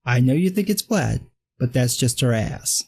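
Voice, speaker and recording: A man's voice: mid-range voice, animated, moderate pace, gravelly, husky. A man with a dry voice delivering a sarcastic, blunt scripted film commentary. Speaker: film critic vlogger.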